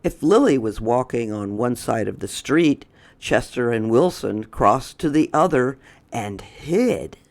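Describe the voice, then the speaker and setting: A storyteller narrating a children's picture book in a deliberate, expressive way. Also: medium pitch.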